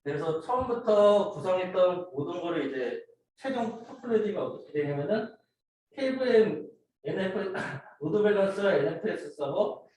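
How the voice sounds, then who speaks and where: A man giving an informal, somewhat halting student project presentation, explaining slides and a demo step by step. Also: high voice, expressive, darkish tone. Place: indoor room, voice picked up from a distance. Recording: very echoey room, distant mic, noisy.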